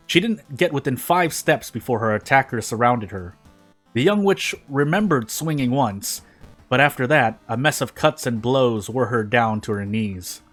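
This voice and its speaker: A man's voice: medium pitch, animated, normal speed, a bit bright, faint music behind. A man informally reading a story aloud, now and then stumbling and rereading phrases. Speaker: fan fiction reader on a gaming video.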